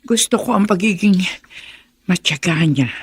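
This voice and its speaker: An actress playing a sickly, affectionate elderly mother in a Filipino radio drama, with a creaky, husky voice. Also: lowish voice, expressive, no room sound, full character voice. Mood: fond, advising. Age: older. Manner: slow, tender, motherly.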